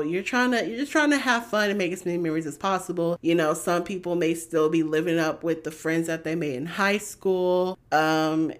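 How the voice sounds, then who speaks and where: A woman in her twenties hosting a podcast on her own, talking in a rambling, chatty way with lots of "like" and "you know.". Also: deep voice. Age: 20s. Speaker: podcast host. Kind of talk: casual solo podcast chat.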